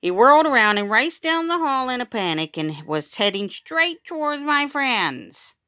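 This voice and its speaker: Woman, children's read-aloud, dramatic storyteller, very animated intonation, bright, dry studio-like sound. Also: a little high, moderate pace, clean tone, dry recording. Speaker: storytime reader.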